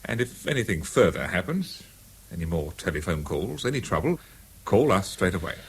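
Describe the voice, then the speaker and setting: A polite English police sergeant in a seventies radio play. His voice is low and husky, and he speaks in a measured, official, methodical way. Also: very animated, heavily acted. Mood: official, calm. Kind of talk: 1970s British radio drama acting.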